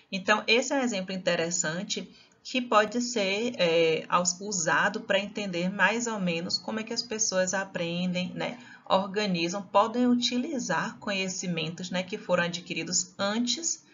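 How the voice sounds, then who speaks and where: A woman's voice: lowish voice, a bit bright, dull audio. A woman from Salvador with a Bahian accent, giving a recorded lecture in a conversational way with many tag questions. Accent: Bahian Brazilian Portuguese. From Salvador, Bahia, Brazil. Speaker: teacher.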